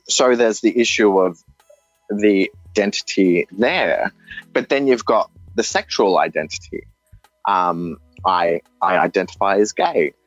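A man with an Australian accent speaks slowly and earnestly, stumbling over and restarting his phrases as he reflects. His voice is fairly low. The recording sounds dull and dry, with faint music underneath. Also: dry recording. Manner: halting, earnest, with frequent restarts. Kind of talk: reflective personal testimony.

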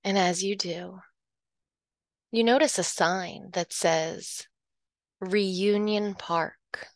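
A woman leading a guided hypnosis meditation, speaking in a slow, soothing, hypnotic way and dropping softly into whispers. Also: fairly low pitch, no room sound, studio quality.

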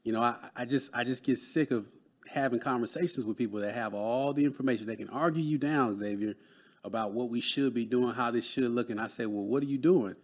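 A man's voice: mid-range voice, brisk. A man in his late thirties from Dallas, Texas, a guest speaking earnestly and motivationally about mentoring, often adding "man.". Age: 30s. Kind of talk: conversational interview.

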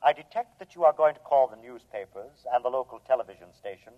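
A man's voice: medium pitch, expressive, natural voice. A man playing a cold, menacing alien robot in an old radio drama, speaking formally and matter-of-factly. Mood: cold, assured. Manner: formal, measured, matter-of-fact. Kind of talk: 1950s radio drama acting. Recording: thin, phone-like old radio recording.

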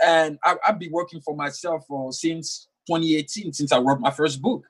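A man's voice: on the high side, normal speed. A young man whose first language is French, speaking earnestly as an author in an interview and often repeating words while he thinks. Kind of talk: conversational interview.

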